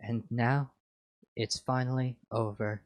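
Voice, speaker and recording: A bitter, lonely female machine mind read by a man, full of contempt in a slow fan fiction monologue. The voice is medium-pitched and sounds close and dry. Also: flat delivery, no room sound, close to an everyday voice. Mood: contemptuous. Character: bitter, contemptuous female artificial intelligence left alone. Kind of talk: dramatic fan fiction reading.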